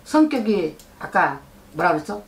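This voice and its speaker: A woman's voice: on the low side, very animated, normal speed, a little hiss or hum. Blunt middle-aged woman, a scolding fortune teller. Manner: blunt, scolding, keeps checking she is understood. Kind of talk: fortune-telling consultation. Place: shrine office indoors.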